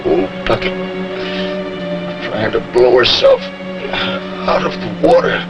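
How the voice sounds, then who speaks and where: Slow, wildly swinging wounded submariner. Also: male voice, medium pitch, wildly swooping pitch. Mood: pained, dazed. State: in pain, weak. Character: badly injured submarine crewman, dazed, struggling to speak. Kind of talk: 1950s television war drama acting. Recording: dull 1950s TV soundtrack, loud music.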